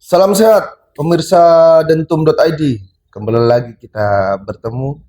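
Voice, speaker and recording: A man's voice: on the high side, sing-song, moderate pace, dark tone. A man hosting a casual podcast interview, chatty and joking, firing short questions at his guest. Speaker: podcast host.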